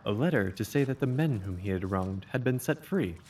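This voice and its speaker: An earnest Victorian gentleman voiced by a man speaks formally, with lively swings of pitch. He is the admiring, questioning companion of a detective in an audiobook drama, recorded with a faint noise behind. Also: fairly quick, natural voice. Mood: attentive, questioning. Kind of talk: dramatised literary audiobook dialogue.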